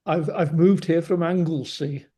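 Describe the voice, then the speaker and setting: A man with a Welsh accent and Welsh as his first language asks a question over a video call. His voice sits a little high for a man yet sounds mellow and warm. He speaks haltingly, filling gaps with uhs and ums. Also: steady tone, breathy edge. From Wales. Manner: hesitant, with many uhs and ums. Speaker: audience member asking a question.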